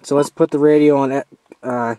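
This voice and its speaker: A man giving a casual, hands-on product review as an amateur, informal and halting as he steps through features. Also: medium pitch, fairly even intonation, warm tone, slight background noise, low-quality recording.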